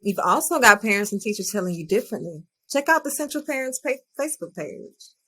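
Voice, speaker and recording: Husky, determined woman teacher. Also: medium pitch, very animated, a little fast, a bit bright. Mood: determined, urging. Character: determined, principled female teacher urging action. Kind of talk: dramatized podcast acting.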